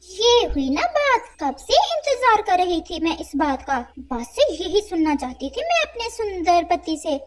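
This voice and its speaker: A crooked shopkeeper crow wife from a cartoon, voiced by a woman. She speaks very high with animated swings, wheedling and scornful by turns as she schemes and flatters. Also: unhurried, few pauses, exaggerated voice. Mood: sly flattering.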